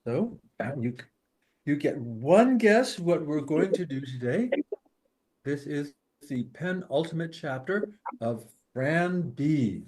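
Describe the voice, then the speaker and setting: A man introducing his reading informally at an online open mic, with a halting, playful manner. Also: on the high side, lively intonation, darkish tone. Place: online video gathering.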